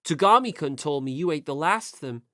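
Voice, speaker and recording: Woman playing an earnest, excitable teenage schoolboy. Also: sits low, fairly quick, no echo, clean studio sound, voice pushed down. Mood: flustered, insistent. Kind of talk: audiobook character voice.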